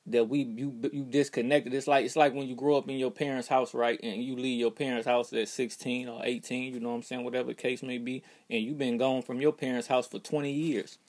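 A man's voice: medium pitch, darkish tone. An American man delivering an emphatic, preachy monologue straight to his followers, constantly checking that they are following. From United States. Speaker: social media commentator. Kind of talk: direct-to-camera monologue.

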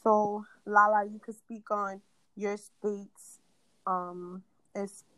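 A young woman from New York with a raspy voice after an asthma attack, hosting a casual podcast chat in a blunt, cursing rant. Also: medium pitch, flat delivery, very slow, sharp tone, dry recording, highs cut off. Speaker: podcast host.

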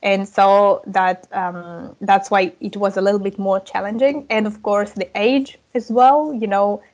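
A woman, a language coach talking as a podcast guest in a relaxed, chatty way with frequent laughs and fillers. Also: mid-range voice, a little slow, dull audio. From Ukraine. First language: Russian and Ukrainian. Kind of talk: casual interview chat.

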